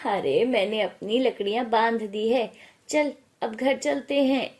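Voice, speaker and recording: A woman's voice: fairly high pitch, measured pace, darkish tone, natural voice. A woman voicing a kindly middle-aged mother-in-law in a story, patient and reassuring. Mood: brisk. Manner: patient, instructing. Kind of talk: acted storytelling dialogue.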